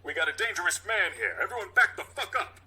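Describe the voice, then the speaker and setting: A man voicing an angry, threatening male cop with forceful, exaggerated delivery in a mock cop show. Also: sits high, quick pace, vocal fry, slight background noise, voice pushed up, heavily acted. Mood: loud alarm. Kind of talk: mock cop show vignette read on a podcast.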